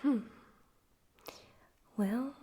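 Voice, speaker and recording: A woman voicing a suave, amused, menacing male demon overlord in a breathy whispered ASMR roleplay, slow and condescending. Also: warm tone. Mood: teasing, condescending. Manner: slow, condescending, teasing, deliberate.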